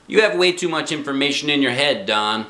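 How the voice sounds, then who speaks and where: A man reading aloud, voicing an excitable, dramatic little girl who screeches when scared. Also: fairly high pitch, fairly quick, pitched above the performer's own voice. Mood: sassy.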